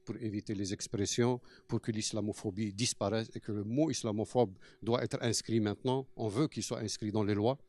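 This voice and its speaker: Community cofounder, earnest, slightly low voice, close dry sound. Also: male voice, fairly even intonation, brisk, hardly any pauses, warm tone, no room sound. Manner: earnest, mixes Arabic phrases into French. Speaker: cofounder of a cultural centre. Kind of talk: earnest testimony. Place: mosque.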